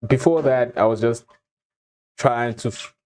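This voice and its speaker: A young man from Zimbabwe telling his own story in a relaxed, casual way, with frequent ums and soft chuckles. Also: medium pitch, measured pace. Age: young adult. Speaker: vlogger.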